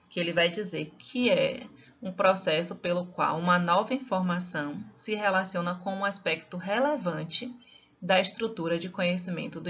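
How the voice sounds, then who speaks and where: A woman's voice: on the low side, a bit bright, muffled recording. A woman from Salvador with a Bahian accent, giving a recorded lecture in a conversational way with many tag questions. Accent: Bahian Brazilian Portuguese. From Salvador, Bahia, Brazil. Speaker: teacher.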